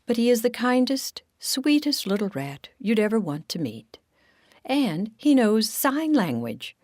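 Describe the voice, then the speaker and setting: Woman playing chatty female ladybugs, storybook, kindly, eager, lively intonation, breathy. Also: a little high, unhurried, no echo, pitched above the performer's own voice. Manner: chatty, explaining. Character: eager, kindly lady ladybugs speaking in unison.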